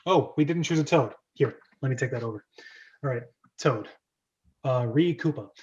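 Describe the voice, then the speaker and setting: A man's voice: mid-range voice, normal speed, darkish tone. A man casually hosting a script read-through and organising the readers, on a slightly dull recording. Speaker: host of script reading.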